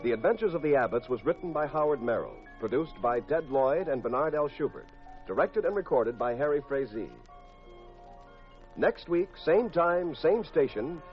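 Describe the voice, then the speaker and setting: An American man announcing scripted credits in the formal, polished style of 1950s network radio. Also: a little high. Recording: dull, lo-fi 1950s radio broadcast. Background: music.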